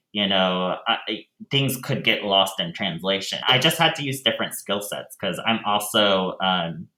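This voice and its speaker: A man answering interview questions as a theater director, speaking casually in a thoughtful, halting way. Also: fairly low pitch, pushed and bright. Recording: roomy, echoey sound.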